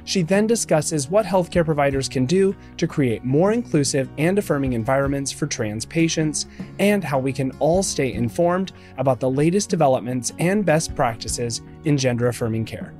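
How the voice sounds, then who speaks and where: A man hosting a podcast, reading a scripted introduction and asking interview questions in a measured way. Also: on the high side, lively intonation, a little mellow, soft background music. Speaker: podcast host.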